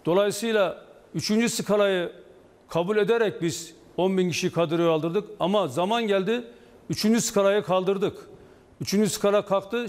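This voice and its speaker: A man in his sixties speaking deliberately and haltingly as a union official in a live TV interview. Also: sits high. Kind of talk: live TV news interview, explaining. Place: indoor office or studio, video link to TV broadcast. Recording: long reverberant hall echo.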